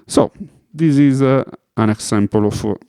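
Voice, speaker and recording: A man's voice: medium pitch, moderate pace. A man with an Italian accent explaining music production in a hesitant way, stopping often with fillers as he searches for English words. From Italy. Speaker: music tutorial host. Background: electronic music playing.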